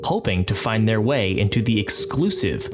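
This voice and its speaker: Male game master, mid-pitch, steady narration, clear music underneath. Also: normal speed. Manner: clear expository storytelling.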